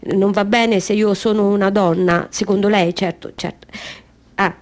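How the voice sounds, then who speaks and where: An actress playing a clumsy, unrestrained Auguste clown, eager and self-deprecating, in a theatrical radio monologue. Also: female voice, medium pitch, steady tone, moderate pace, lightly acted. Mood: defensive. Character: clumsy, unrestrained female Auguste clown pleading her case.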